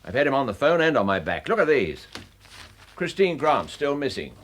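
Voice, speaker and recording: An irascible senior police commander in a radio play. He is older, brusque and blustering. His middle-pitched voice rises and falls dramatically. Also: big pitch swings, normal speed, a bit bright. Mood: blustering, exasperated. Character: gruff, irascible senior male police chief.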